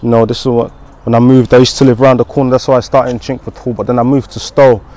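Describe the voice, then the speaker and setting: A man's voice: medium pitch, level tone, fast. A young man with a London accent, talking casually in slang and swearing, recorded outdoors with a clip-on mic. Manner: slangy, swearing, chatty, shout-outs. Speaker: vlogger. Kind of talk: casual walk-and-talk vlog. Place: outdoors on streets by a school, then a garden patio.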